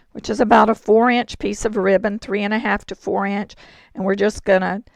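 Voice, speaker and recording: A woman with a hoarse voice, explaining a card-making project step by step in a chatty way. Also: mid-range voice, level tone, normal speed, no room sound. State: hoarse, throat-clearing. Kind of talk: crafting tutorial.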